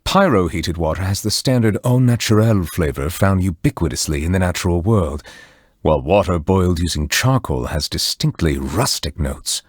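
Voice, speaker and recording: A deep-voiced, courteous otherworldly judge from a video game, with a husky edge. He speaks formally and with reserve, choosing each word with care, yet his intonation moves widely. The sound is close and dry. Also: animated, no echo. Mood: refined, discerning. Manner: formal, measured, eloquent, reserved. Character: solemn, refined male judge, courteous otherworldly being. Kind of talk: scripted game character voice lines.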